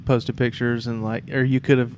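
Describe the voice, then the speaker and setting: A man's voice: medium pitch, level tone, normal speed, darkish tone. A man hosting an informal, conversational interview. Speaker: podcast host. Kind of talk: casual, informal interview chat. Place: temporary studio in a theatre. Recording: background noise and room ambience.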